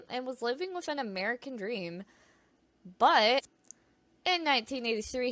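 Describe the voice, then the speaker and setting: Woman w a high voice, dry close sound, reading creepy stories kinda casual, trips on words. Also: a bit bright, dry recording. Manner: stumbles over words, self-conscious asides. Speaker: video narrator. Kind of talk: informal scripted narration of creepy true stories.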